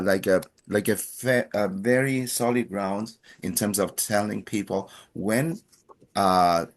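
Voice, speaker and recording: A man from Chile with a Chilean accent, a native Spanish speaker, teaching a language class in an encouraging, playful way with many fillers. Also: on the low side.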